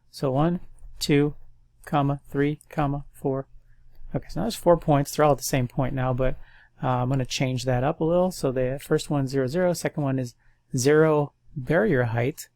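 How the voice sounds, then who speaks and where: A man's voice: medium pitch, normal speed, dry recording. A man with a stuffy nose, casually thinking aloud as he walks through a coding tutorial. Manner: thinks aloud, relaxed and chatty. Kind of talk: casual screen-recorded coding walkthrough.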